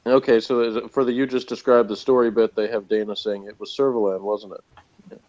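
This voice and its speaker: A man's voice: fairly low pitch, little pitch movement, quick pace, darkish tone. A man in a casual review chat as a podcast reviewer, speaking in a sardonic manner. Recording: slightly dull, lo-fi audio.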